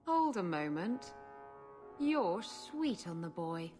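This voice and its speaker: An actress playing a brazen, bawdy female rogue in a video game, husky-voiced, sly and teasing. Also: lowish voice, sing-song, slow pace, darkish tone, soft background music. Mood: gleeful teasing. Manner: sly, mocking, drawling. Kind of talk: video game character acting.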